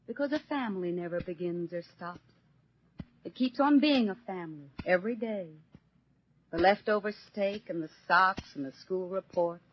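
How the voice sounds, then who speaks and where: A woman's voice: mid-range voice, a little hiss or hum, lightly acted. An actress playing an earnest, defiant middle-aged American housewife and mother in a solemn 1940s radio monologue. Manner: solemn, theatrical, emphatic. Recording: dull 1940s radio recording, faint music.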